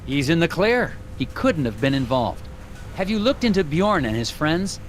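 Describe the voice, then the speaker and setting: An actor playing an earnest, puzzled FBI agent in an animated game, hesitant yet matter-of-fact. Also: male voice, a little high, moderate pace, a little hiss or hum. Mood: inquisitive.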